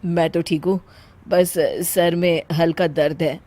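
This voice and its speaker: A woman's voice: on the low side, steady tone, a little slow, darkish tone, voice pushed down, lightly acted. A woman voicing a weary, annoyed husband in a cartoon family story, subdued and stern.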